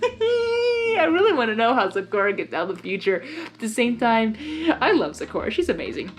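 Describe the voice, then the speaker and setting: A woman reading fan fiction aloud with expressive narration and enthusiastic, chatty asides. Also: fairly high pitch, normal speed. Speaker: fanfiction reader.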